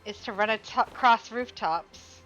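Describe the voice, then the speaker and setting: A woman's voice: fairly high pitch, normal speed, a bit bright, dull audio. A woman giving casual live commentary as a game streamer, chatty and informal, musing aloud. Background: game music.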